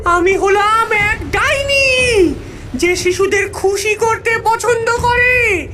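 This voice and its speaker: A woman's voice: extremely high pitch, slow pace, noisy background. A woman voicing a wicked, child-eating cartoon witch with a gloating, theatrical manner. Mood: triumphant, sinister. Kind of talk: cartoon villain voice acting.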